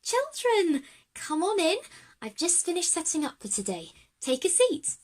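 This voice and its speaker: Woman as high, friendly textiles teacher. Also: sing-song, a little slow, heavily acted. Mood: welcoming. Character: friendly, enthusiastic female textiles teacher at a school.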